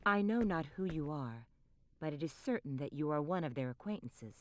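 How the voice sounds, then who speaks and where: An actress playing a stately, stern queen in a fantasy video game, with measured, imperious, archaic formal speech. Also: low voice, lively intonation, slight background noise. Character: regal, authoritative monarch, stately and stern.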